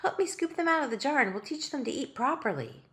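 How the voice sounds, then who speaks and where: A woman doing a high, prim little girl. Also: sing-song, quick pace, fairly bright tone.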